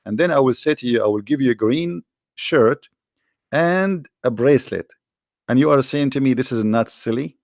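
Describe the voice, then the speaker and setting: A man's voice: mid-range voice, animated, normal speed, darkish tone, dry recording, studio quality. A man hosting an argumentative debate, challenging and mocking his caller with repeated questions. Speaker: debate host.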